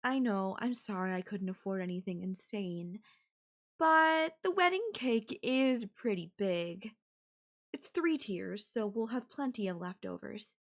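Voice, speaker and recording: A woman's voice: mid-range voice, expressive. A woman playing an obsessive, menacing yandere girlfriend in an intimate audio roleplay, speaking to her captive lover with condescending, coaxing sweetness. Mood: adoring, giddy. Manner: sweetly condescending, coaxing, threatening, with lingering pauses.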